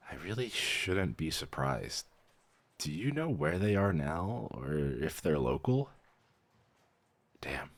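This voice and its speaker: A man's voice: rumbling low, creaky, heavily acted. A man playing a weary, friendly young vampire mentor in a roleplay audio, dryly professional yet casual and a little hesitant. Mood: curious, matter-of-fact. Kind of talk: roleplay audio, conversational acting.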